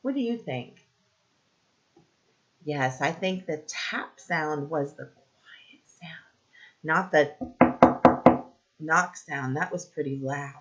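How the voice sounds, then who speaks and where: A woman teacher leading a read-aloud lesson for young children, asking simple questions, pausing for answers and encouraging them. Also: sits low, expressive, a bit bright, muffled recording, slight background noise.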